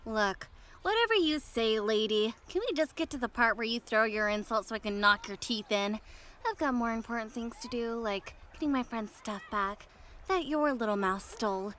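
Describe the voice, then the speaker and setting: A woman's voice: a little high, moderate pace, a bit bright, slight background noise, natural voice. A woman playing a brash, sarcastic, unimpressed teenage heroine in an anime-style audio drama. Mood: bored, impatient. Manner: sarcastic, confrontational.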